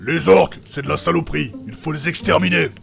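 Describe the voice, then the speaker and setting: A super growly crude fantasy warrior, sweary and mocking, audio drama thing. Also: male voice, on the high side, quick pace, fairly bright tone, faint music behind. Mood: scornful, angry. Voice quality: gravelly, rough. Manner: coarse, sweary, taunting. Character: gruff, crude, mocking male fantasy adventurer who hates orcs.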